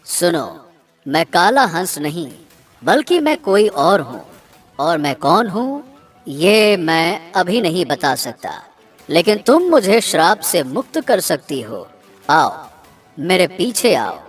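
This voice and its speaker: Woman voicing a slow, low, mysterious cartoon swan. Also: sing-song, soft background music. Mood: pleased, secretive. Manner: slow, deliberate, dramatic. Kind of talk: animated children's story dialogue.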